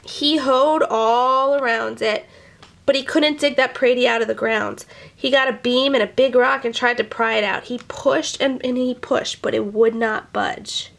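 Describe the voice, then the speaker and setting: A woman reading a children's picture book aloud, expressively, with animated asides to young listeners. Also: a little high, measured pace, creaky. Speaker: storyteller.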